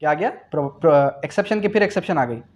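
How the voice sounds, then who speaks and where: A man's voice: a little high, animated, fast talker. A man with a Pakistani accent teaching a law revision class, asking and answering his own questions, repeating key phrases and mixing in English terms. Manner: switches between Urdu and English terms. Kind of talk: exam revision lecture.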